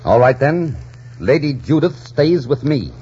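An actor playing a bold, romantic pirate captain, commanding and theatrical, in 1940s radio drama style. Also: male voice, mid-range voice, big pitch swings, measured pace, dark tone, husky, heavily acted. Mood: cool, commanding. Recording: dull 1940s radio recording.

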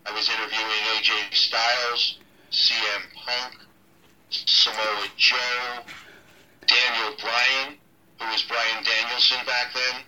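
A middle-aged ring announcer talks through an interview in a loose, unhurried way, stopping and restarting often. His tone is very bright and forward. He sounds set back from the mic, as if over a phone line. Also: mid-range voice, distant mic. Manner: relaxed, halting, many ums and restarts. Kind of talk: casual interview over a call.

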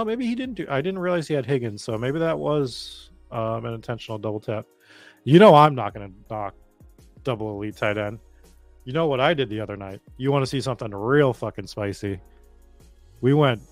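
A man's voice: medium pitch, no room sound. An American man from the Boston area chatting informally and swearing freely as he hosts a casual live draft stream commentary. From Boston area, United States. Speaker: host of a fantasy football draft stream.

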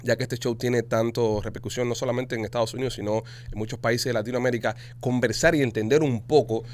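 Man, Cuban Spanish accent, podcast host, rapid chatty delivery, faint background hum. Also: mid-range voice, fairly even intonation. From Cuba. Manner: fast, chatty, salesy. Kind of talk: casual podcast talk with ad reads.

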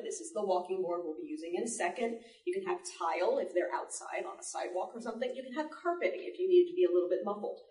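A woman giving a live demonstration talk to an audience, explaining in an animated way with playful asides. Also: mid-range voice. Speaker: panel presenter, sound effects artist. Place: indoor library panel before an audience. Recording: echoey room, distant microphone.

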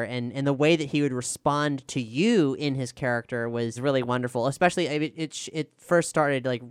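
A woman's voice: extremely low pitch, smooth, dry recording, studio quality. A woman hosting as showrunner, introducing and chatting about the series. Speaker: showrunner and host. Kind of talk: scripted intro and casual discussion.

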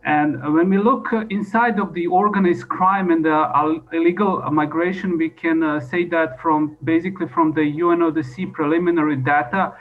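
A man who is not a native English speaker gives a formal expert presentation in halting, repetitive phrasing. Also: fairly high pitch, fairly even intonation. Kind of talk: formal presentation, partly improvised. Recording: dull lo-fi audio.

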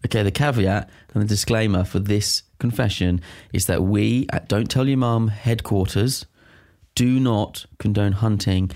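A man's voice: lowish voice, a little slow, darkish tone. A man co-hosting a casual podcast, reading listener confessions aloud and often laughing as he goes. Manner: giggly, breaks into laughter while reading aloud.

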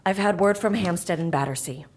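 A woman's voice: lowish voice, a little fast, slight background noise, natural voice. A woman playing a cool-headed agency boss in an audio drama, terse and authoritative. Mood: grave, commanding.

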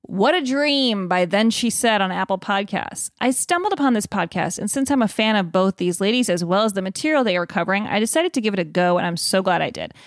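A woman's voice: medium pitch, dry recording. Dry-sounding woman hosting a casual podcast. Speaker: podcast host. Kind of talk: casual chat.

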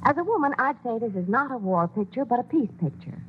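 A woman's voice: a little high, animated, fast. Woman, scripted radio ad, persuasive, chatty, tinny old broadcast, faint hiss. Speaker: commercial spokeswoman.

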